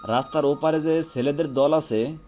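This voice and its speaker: A man's voice: fairly high pitch, fairly even intonation, moderate pace, a little mellow, soft background music, natural voice. A man playing a plain-spoken street snack seller in an audio story. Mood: matter-of-fact.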